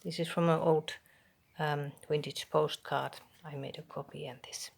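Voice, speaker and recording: A Finnish woman with a Finnish accent, whose first language is Finnish, quietly showing a handmade journal page by page, half-whispering with pauses and ums. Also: low voice, steady tone, vocal fry. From Finland. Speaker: craft channel host. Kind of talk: soft show-and-tell of a handmade journal.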